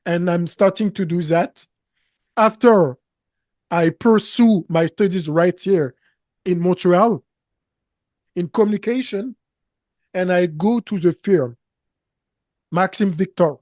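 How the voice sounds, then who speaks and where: Halting French-accented man in his thirties. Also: high-pitched, darkish tone, no room sound, clean studio sound. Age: 30s. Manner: halting, repeats words, searches for English words. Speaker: business coach guest. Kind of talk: interview conversation. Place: studio set, seated in armchair.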